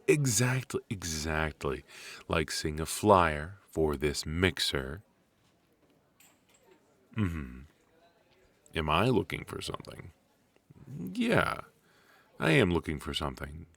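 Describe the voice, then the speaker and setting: A low-voiced, sociable man played by a male voice actor chats in an ASMR coffee shop roleplay. He takes his time, reassuring and friendly, leaving gaps for an unheard partner. The sound is close and dry. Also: a bit bright, no echo, full character voice. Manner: conversational, reassuring, with pauses for an unheard listener. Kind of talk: ASMR roleplay, casual one-sided conversation.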